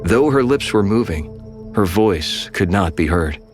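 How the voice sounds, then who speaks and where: A man giving measured, solemn scripted scripture narration over strong music. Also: low voice, expressive.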